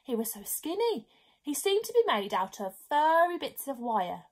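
Young female children's reader: high-pitched, very lively, dramatic stresses, stretched key words. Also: normal speed. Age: young adult. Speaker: story reader. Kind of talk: expressive children's storybook reading. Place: small bedroom, indoors.

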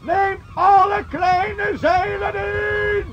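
An actor playing a dutiful ship's officer who serves under a captain in a radio drama. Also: male voice, very high voice, flat delivery, very slow, a crowd in the background, exaggerated voice. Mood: shouting orders. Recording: muffled, noisy shipboard radio scene.

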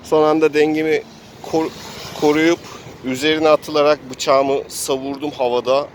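A man's voice: fairly high pitch, flat delivery, moderate pace. A man narrating an improvised tabletop adventure as game master, in a theatrical storytelling way. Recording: noisy outdoor recording with traffic ambience.

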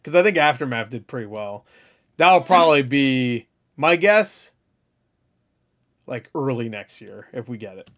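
A man's voice: mid-range voice, expressive, measured pace, pauses often, fairly bright tone. A man hosting a reaction video with casual, joking commentary, irreverent and slangy with some swearing.

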